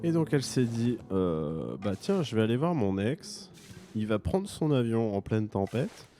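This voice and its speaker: A man's voice: on the low side, big pitch swings, measured pace, warm tone. A man with a French accent commenting a game he plays, joking and thinking aloud. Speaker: gaming streamer. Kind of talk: let's play commentary.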